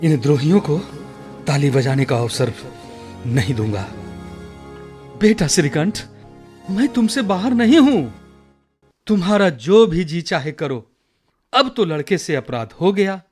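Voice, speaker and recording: A man's voice: a little high, expressive, unhurried, pauses often, darkish tone, faint music behind. An actor in a radio drama playing an elderly village father, admonishing and placating his grown son. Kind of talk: Hindi radio drama acting.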